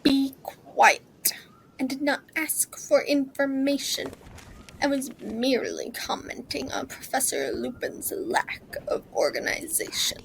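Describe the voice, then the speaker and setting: Woman doing stern sneering male wizard teacher, high for the part, drawn out and creaky, audio drama. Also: fairly even intonation, a little hiss or hum, full character voice, low-quality recording. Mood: cold, sneering. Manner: slow, clipped, disdainful.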